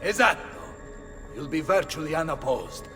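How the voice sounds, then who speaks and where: An actor playing a theatrical, warm-hearted mercenary captain in a video game, with an Italian accent and a dramatic manner. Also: male voice, fairly high pitch, expressive, moderate pace, lightly acted. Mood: confident scheming. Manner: flamboyant, dramatic, swinging from exclamations to hushed words. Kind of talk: video game cutscene acting. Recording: long reverb, loud music behind. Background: music.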